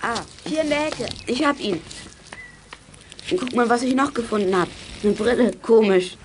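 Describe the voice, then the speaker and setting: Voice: mid-range voice, expressive, moderate pace, noisy background, lightly acted. A performer playing a decisive, cheeky child who leads young detectives in a children's radio play. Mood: curious, confident. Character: bossy, self-assured child leader of young detectives.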